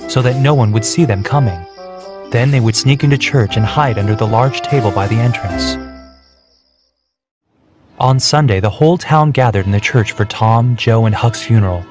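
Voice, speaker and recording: A man's voice: medium pitch, normal speed. A man reading scripted narration for a children's storybook, with music behind him. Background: background music.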